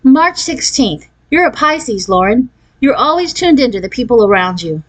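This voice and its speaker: A woman's voice: a little high, sing-song, normal speed, pitched above the performer's own voice. A woman voicing a trendy, self-assured young girl from California in a children's storybook, breezy and casually boastful. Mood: confident, advising.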